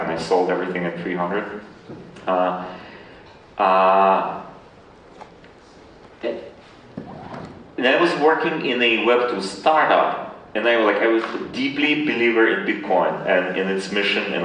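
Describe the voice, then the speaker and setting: A man from Ukraine answering interview questions conversationally, with a halting delivery full of repeated words. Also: low voice, fairly bright tone. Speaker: interview guest, tech founder. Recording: very echoey room, distant mic, faint noise.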